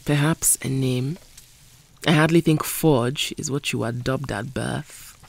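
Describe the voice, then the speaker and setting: A woman's voice: extremely low pitch, takes it slowly, vocal fry, exaggerated voice. A woman playing a proud, guarded mutant heroine in an audio drama, with music under her voice. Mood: teasing.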